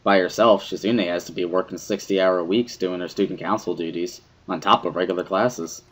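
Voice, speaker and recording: A man reading first-person visual novel narration in a measured, reflective way, as an amateur dramatic reading. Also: deep voice, fairly even intonation. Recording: music behind the voice.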